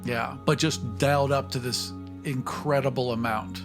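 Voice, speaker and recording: A man's voice: medium pitch, fairly even intonation, normal speed. Emphatic man chatting, faint music behind. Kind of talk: casual panel discussion.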